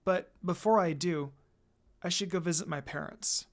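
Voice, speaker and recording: A man voicing a lonely, tearful young female heroine in a fan fiction story, plaintive and halting. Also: high-pitched, lightly acted. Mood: hurt, resolving.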